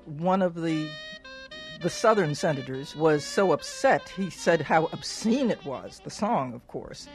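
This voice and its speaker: A woman's voice: sits low, animated, unhurried, faint music behind. A woman talking conversationally as an interviewed cultural consultant.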